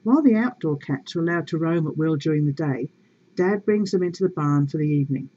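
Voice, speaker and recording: A woman reading a story aloud in a measured, expressive and wry way, with casual asides to her viewers. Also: sits low, highs cut off.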